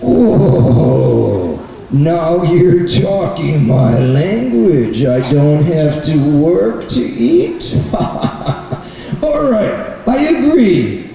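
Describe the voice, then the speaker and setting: A man's voice: fairly high pitch, very animated, extremely slow, gravelly, crowd noise, heavily acted. A man voicing a smug, mean, hungry lion king in a puppet show, bossy and self-satisfied, drawing out his words. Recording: very echoey noisy hall, distant mic.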